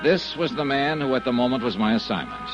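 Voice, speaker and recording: An actor playing a hard-boiled, impatient insurance investigator in a 1950s radio drama, with a clipped, brusque, wisecracking delivery. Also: male voice, mid-range voice, wildly swooping pitch, a little fast, music in the background. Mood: dry, businesslike. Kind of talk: 1950s radio drama acting and first-person narration. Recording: dull, lo-fi 1950s radio recording.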